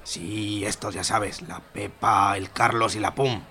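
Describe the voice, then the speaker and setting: A man playing a gruff, cursing, easily flustered suitor and brawler in an amateur radio soap. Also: fairly low pitch, steady tone, slow, sharp tone, exaggerated voice. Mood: awkward, smitten. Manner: colloquial, cursing, stammering when flustered. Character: gruff man smitten with a woman, flaring into brawling fury.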